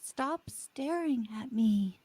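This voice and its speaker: Woman as a high-voiced, frightened teenage girl. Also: lively intonation, slow, dark tone, breathy edge, no echo, exaggerated voice. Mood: scared pleading. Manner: pleading, distressed. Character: frightened, pleading seventeen-year-old girl with new powers. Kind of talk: live audio drama read.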